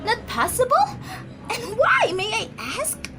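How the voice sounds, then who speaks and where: A woman's voice: very high voice, very animated, unhurried, background noise, exaggerated voice. A woman acting a nervous, demanding invalid wife in an amateur radio drama, complaining imperiously and emotionally. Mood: indignant.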